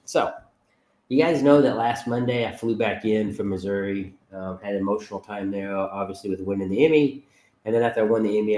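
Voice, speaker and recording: A man's voice: low voice, a little mellow. A middle-aged man with a cold-stuffed voice, hosting his podcast in a blunt, rambling casual chat. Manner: blunt, chatty, rambling with fillers. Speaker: podcast host. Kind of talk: casual livestream monologue. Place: home setup with green screen. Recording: roomy echo.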